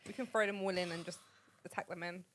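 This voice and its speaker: A woman's voice: fairly low pitch, steady tone, fast talker, crowd noise. Chatty young woman hosting a podcast. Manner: chatty, laughs easily, reads out a list. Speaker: podcast host. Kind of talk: casual chat.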